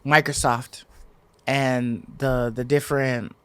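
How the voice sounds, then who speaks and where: A man's voice: medium pitch, flat delivery, slow pace, a bit bright, clear, resonant tone, no echo. A man hosting a podcast, chatting casually about games in an informal, slangy way. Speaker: podcast host. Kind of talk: casual gaming podcast chat.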